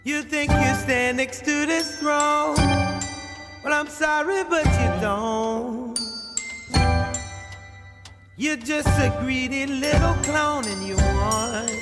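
Female vocalist, high register, very drawn-out sung phrasing, prominent musical backing. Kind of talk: slow singing. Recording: music under the voice.

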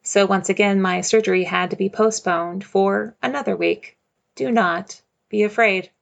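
A woman recounts a personal story in a measured, reflective voice at a middling pitch. A gravelly, creaky texture runs through her speech, and she keeps coming back to the same quiet refrain. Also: dull audio.

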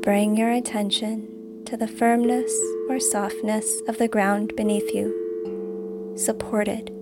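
A woman guiding a meditation as scripted narration, calm and soothing, with deliberate phrasing. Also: medium pitch. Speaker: meditation guide and podcast host. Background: music behind the voice.

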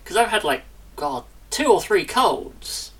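A woman co-hosting a podcast, chatting casually with a lively, self-interrupting manner. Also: lowish voice, a little slow, recorded from a distance, a loud crowd. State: recovering from illness. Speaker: podcast co-host. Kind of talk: casual chat.

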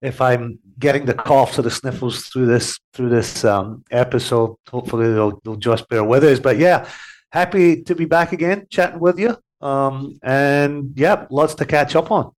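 A man's voice: mid-range voice, normal speed. Congested man chatting on radio. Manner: rambling, with many fillers and restarts. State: congested with a head cold. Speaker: TV series host as guest. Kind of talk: casual radio interview chat.

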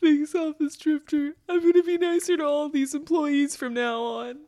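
A woman's voice: high-pitched, steady tone, moderate pace, mellow, pitched above the performer's own voice, natural voice. A woman playing a pompous, jargon-spouting consultant boss who gets defensive, in a comedy table read. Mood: chastened. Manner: indignant, pompous corporate talk.

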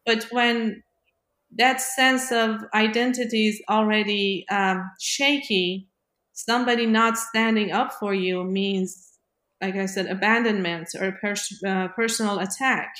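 Female discussion voice, measured and analytical, reflective delivery, noticeably roomy sound. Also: mid-range voice, steady tone, a bit bright. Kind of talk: reflective explanatory conversation.

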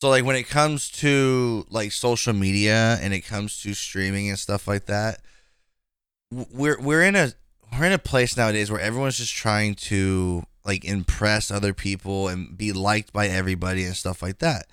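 A man's voice: fairly low pitch, moderate pace, a bit bright, smooth, no echo, studio quality. Frank, rambling young male podcast host. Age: 20s. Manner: frank, rambling, many fillers and restarts. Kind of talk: casual conversation.

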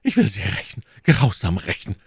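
A man voicing a secretive, scheming noblewoman in soft, high falsetto for an audiobook. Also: huge pitch swings, normal speed, gravelly, breathy edge, no echo, studio quality, full character voice. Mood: coldly soothing. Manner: soft falsetto, tender then menacing.

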